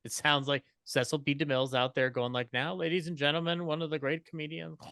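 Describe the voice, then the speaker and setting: A man talking casually as a panelist, halting and thinking aloud as he goes. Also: fairly high pitch, level tone, a crowd in the background. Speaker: podcast panelist.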